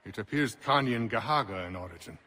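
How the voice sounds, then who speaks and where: Low, courteous gentleman scholar. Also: expressive. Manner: polite, formal, deliberate. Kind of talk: video game cutscene acting.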